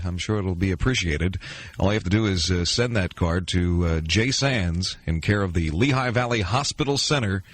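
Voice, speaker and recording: An American man hosting a 1980s AM radio show in announcer patter, introducing items as a weekend DJ. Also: sits low. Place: radio studio. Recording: 1980s AM radio aircheck with music.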